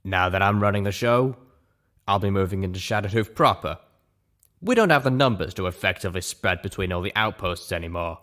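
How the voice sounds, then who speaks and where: A man voicing a tough, shrewd female mercenary leader from a story, gruff and businesslike. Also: sits low, animated, a little fast, fairly bright tone.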